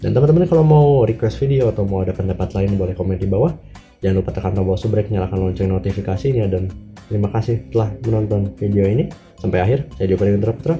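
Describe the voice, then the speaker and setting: Hoarse-ish guy explaining pc stuff, very dark mellow voice, soft guitar music behind. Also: lowish voice, quick pace. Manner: chatty, self-correcting, drops slang fillers. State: slightly hoarse. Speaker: tech YouTuber. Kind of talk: casual talk-to-camera explainer.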